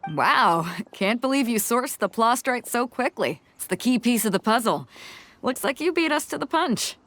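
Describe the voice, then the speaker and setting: An actress playing a hearty, confident seafaring captain in a video game, casual and chummy with a bit of swagger. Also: female voice, on the high side, sing-song, normal speed, fairly bright tone, faint music behind. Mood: impressed, breezy.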